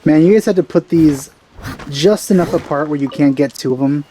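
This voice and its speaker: A man giving casual gameplay commentary, hesitant and rambling as he thinks out loud. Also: fairly high pitch, fairly quick, slight background noise. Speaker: let's player. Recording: game music behind voice. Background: video game music.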